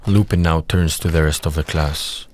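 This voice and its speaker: A man's voice: rumbling low, steady tone, moderate pace, a little mellow, a little hiss or hum. A man reading scripted scene narration for an audio drama.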